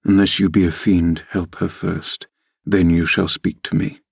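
A man's voice: deep voice, level tone, a little slow, gravelly, slightly rough, dry recording, clean studio sound, exaggerated voice. A man playing an anguished, fierce and brooding male lover, speaking with earnest intensity in a classic novel reading. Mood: stern, urgent.

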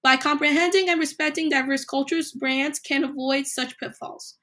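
A woman hosting a solo podcast, a little hoarse from a cold, reading numbered points with emphasis. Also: high voice, fairly even intonation, moderate pace, a bit bright. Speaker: podcast host.

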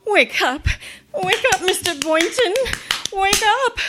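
A woman playing a wry, quick-witted high-school teacher with sardonic, theatrical radio comedy delivery. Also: lively intonation, noisy background, heavily acted. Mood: urgent.

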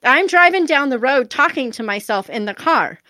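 A woman's voice: sits high, animated, moderate pace, sharp tone, dry recording. A woman in her forties with a nasal voice, chatting candidly and self-deprecatingly as an author being interviewed. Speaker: author guest. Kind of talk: casual interview answers.

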